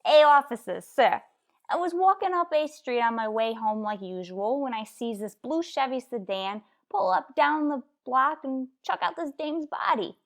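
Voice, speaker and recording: A woman plays a nervous young newsboy in an old-time radio crime drama. The voice is pitched up high, eager and flustered, chattering in folksy street slang. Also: lively intonation, normal speed, gravelly. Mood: excited.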